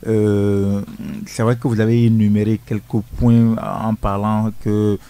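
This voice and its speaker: A man hosting a radio discussion show, putting measured questions to his guests with frequent hesitations. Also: lowish voice, little pitch movement, warm tone, clear, resonant tone. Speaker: radio host.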